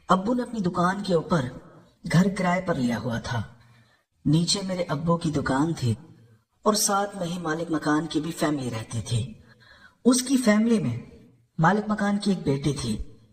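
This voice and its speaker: A man narrates a scripted story in the first person. His voice sits high for a male speaker and rises and falls in a lively way. A long reverb hangs over every phrase, as in a large hall.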